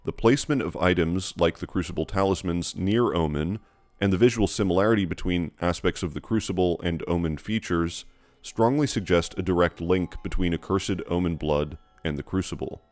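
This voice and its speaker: A man narrating a scripted, analytical lore video in a measured, explanatory way. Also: deep voice, darkish tone, dry recording.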